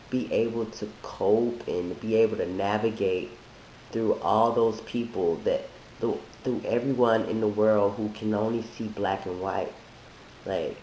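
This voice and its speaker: A man who is sick, talking in a halting, thoughtful way with many restarts, in a reflective personal monologue. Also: on the low side, mellow. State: sick, voice deeper than usual. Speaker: vlogger sharing a transition update. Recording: echoey room with faint hiss.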